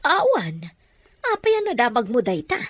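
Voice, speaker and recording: A woman playing a gentle, anguished mother in an audio drama, speaking with emotional, pleading delivery. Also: a little high, huge pitch swings, moderate pace, no room sound, full character voice. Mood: defensive, distressed. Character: gentle, anguished Filipino mother guarding an adoption secret.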